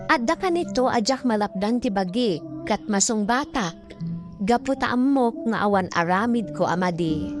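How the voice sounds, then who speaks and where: A woman's voice: medium pitch, close to an everyday voice. An actress with an Ilocano accent playing an aggrieved young mother in a plaintive, reflective radio drama monologue. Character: aggrieved young mother privately recounting her unhappy marriage.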